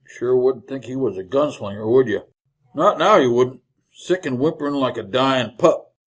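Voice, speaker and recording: An actor playing a sardonic, grumbling tobacco-chewing wagon driver in a radio Western, with a husky American Western voice. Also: male voice, medium pitch, moderate pace, close to an everyday voice. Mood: scornful. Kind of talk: old-time radio Western acting.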